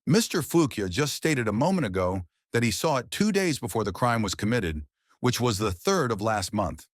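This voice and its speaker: A man voicing a shrewd, confident male detective who questions in a sharp, probing, sarcastic way, in an audiobook. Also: mid-range voice, big pitch swings, normal speed, no echo, clean studio sound, voice pushed up. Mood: probing, accusing. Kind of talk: audiobook character voice.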